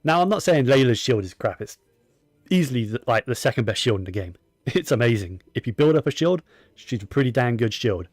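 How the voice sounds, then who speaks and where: A man talks casually over his gameplay, rambling from point to point and stating firm opinions, often muttering to himself. His voice sits at an ordinary pitch, recorded close and dry, with faint game music underneath. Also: lively intonation, a little fast, darkish tone, dry recording. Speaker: gaming streamer.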